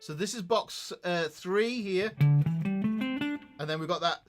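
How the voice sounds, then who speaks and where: A man's voice: sits high, slow pace. A man giving an informal guitar lesson, talking conversationally and often checking in with the listener as he demonstrates. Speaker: guitar teacher. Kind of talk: informal instructional talk with demonstrations.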